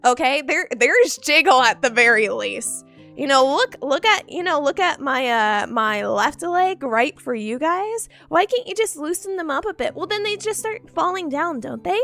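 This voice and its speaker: Woman streamer, bright high voice bouncing all over, giggly groggy chat, soft music under it. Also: lively intonation, normal speed, vocal fry. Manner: playful, giggly, repeats herself a lot. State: just woken up, groggy. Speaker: VTuber streamer. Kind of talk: casual chat stream talking to viewers. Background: faint background music.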